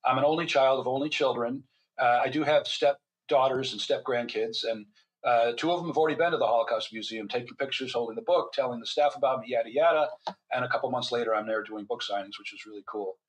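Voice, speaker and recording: A man's voice: medium pitch, fast, rough recording. An older man, a physician and author, talking as a podcast guest in a chatty, storytelling way with wry asides. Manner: chatty storyteller, wry humor, frequent restarts. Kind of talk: casual podcast interview.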